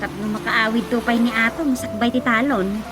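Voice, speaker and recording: Woman acting a high-voiced Ilocano villager. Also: fairly even intonation, normal speed, over music, natural voice. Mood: questioning. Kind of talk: Ilocano radio comedy drama acting.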